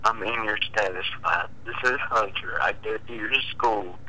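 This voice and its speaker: A man's voice: on the low side, fairly even intonation, normal speed, sharp tone, slight background noise. A young man chatting casually and bemusedly over a tinny phone line. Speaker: friend receiving a prank call.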